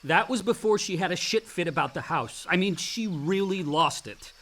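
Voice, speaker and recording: A man's voice: high voice, animated, normal speed, a bit bright. A man acting a bitter, hot-tempered young adult son in a naturalistic radio play, blunt and sarcastic. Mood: angry.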